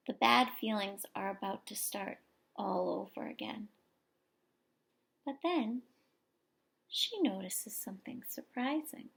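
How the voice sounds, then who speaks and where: A woman teacher reading a picture book aloud expressively to young children, pausing for explanations and questions. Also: on the high side, creaky. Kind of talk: expressive children's story read-aloud with asides to listeners.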